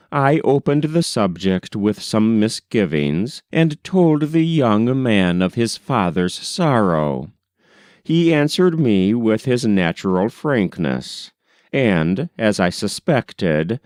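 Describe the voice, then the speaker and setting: Slow, expressive male narrator. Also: lowish voice, no room sound, studio quality. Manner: expressive, acts out dialogue. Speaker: audiobook narrator. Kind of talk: scripted literary narration, old-fashioned novel reading.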